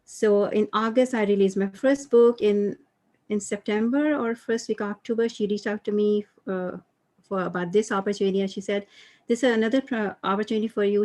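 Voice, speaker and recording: A woman's voice: mid-range voice, darkish tone. A woman from India, a guest coach telling her story in a casual interview, rambling with many fillers and restarts. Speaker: guest author and mindfulness coach.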